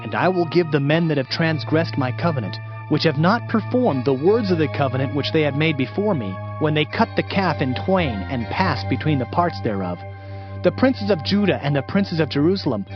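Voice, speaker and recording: A man's voice: fairly high pitch, expressive, moderate pace, natural voice. A man playing a stern, fervent Old Testament prophet in a declamatory, emphatic scripture reading. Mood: wrathful, foreboding. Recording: dull audio with music behind.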